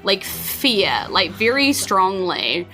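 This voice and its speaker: A woman with a New Zealand accent, narrating as game master in a halting, searching way with many "likes". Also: medium pitch, sing-song, over music, poor audio.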